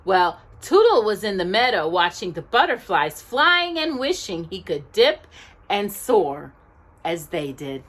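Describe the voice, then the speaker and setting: A woman reads a children's story in an expressive way. Her pace is slow and her pitch moves a great deal, with deliberate, drawn-out emphasis meant for young listeners. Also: a little hiss or hum.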